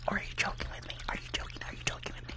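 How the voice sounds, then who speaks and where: A woman's voice: racing, close to an everyday voice. A young woman playing a rude, judgmental spa receptionist in an ASMR roleplay, snarky and condescending, close to the microphone. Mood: mocking. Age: young adult. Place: small room at home, indoors. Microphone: close furry-windscreened condenser microphone.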